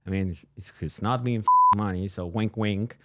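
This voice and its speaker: A man's voice: lowish voice, normal speed, noisy background. A man from Argentina with an Argentine Spanish accent, co-hosting a podcast in a casual, joking, rambling chat. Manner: joking, rambling, frequent restarts and laughs. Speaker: podcast co-host.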